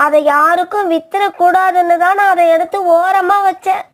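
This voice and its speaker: A woman's voice: very high-pitched, steady tone, moderate pace. A woman voicing an anxious, reproachful pigeon wife in a children's cartoon. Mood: alarmed, reproachful.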